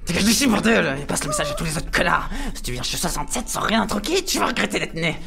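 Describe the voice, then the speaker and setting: A man's voice: high-pitched, big pitch swings, fast talker, creaky, over music, full character voice. A man playing a manic, menacing, foul-mouthed prison gang boss and dealer in a French comedy audio drama, erratic and swaggering.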